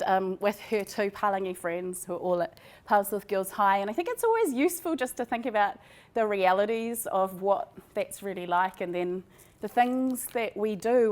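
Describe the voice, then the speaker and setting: A woman researcher with a New Zealand accent gives an academic presentation. Her pitch is middling for a woman, and she talks in an informal, conversational way, as if explaining her own study. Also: darkish tone.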